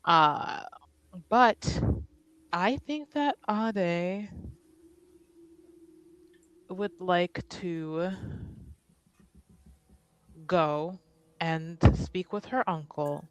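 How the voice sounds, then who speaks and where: A woman playing a tabletop roleplaying game, talking casually and thoughtfully about her character with halting phrasing. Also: deep voice, low-quality recording.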